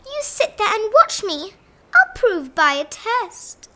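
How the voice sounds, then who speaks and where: A woman's voice: very high voice, big pitch swings, slow pace, bright, forceful tone, pitched above the performer's own voice, heavily acted. A woman voicing a boastful, self-important male rabbit from a children's storybook, in an emphatic bragging manner. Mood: proud, boastful. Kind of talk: animated children's storybook character reading.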